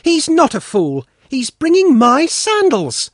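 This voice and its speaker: A man's voice: high-pitched, big pitch swings, a little slow, dry recording, clean studio sound, voice pushed up, full character voice. A man voicing a small, curious, kind-hearted girl in a storybook reading. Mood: joyful, pleading.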